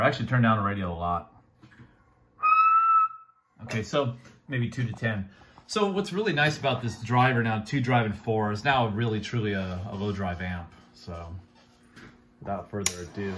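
A man giving a casual, halting hands-on demo as a radio hobbyist, thinking aloud as he goes. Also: lowish voice. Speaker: amateur radio hobbyist demonstrating equipment. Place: small indoor room with radio gear. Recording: steady air-conditioning noise in room.

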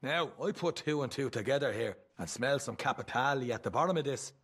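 An actor playing a cheeky, chatty young Irish gang rogue in a video game, talking in a jovial, rambling, teasing way. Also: male voice, medium pitch, lively intonation, brisk, fairly bright tone, slightly rough. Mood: cheeky, boastful. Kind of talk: video game cutscene acting.

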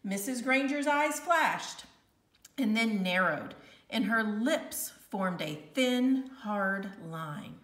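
A woman narrating a children's novel aloud, with expressive, emphatic, deliberate phrasing. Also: medium pitch. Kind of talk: read-aloud storytelling. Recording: roomy, slightly distant home recording.

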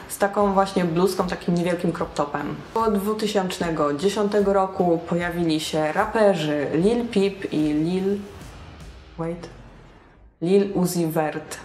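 Young woman vlogger, lowish voice, chatty and wry, roomy echo. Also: a little mellow, slight background noise. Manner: chatty, self-mocking, with English slang. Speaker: alternative fashion vlogger. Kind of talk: casual talk to camera. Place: small bright room indoors.

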